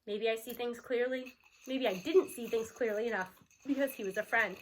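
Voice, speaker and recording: A woman narrating a storybook aloud in an expressive first-person read-aloud style. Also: a little high, normal speed, slight background noise, low-quality recording.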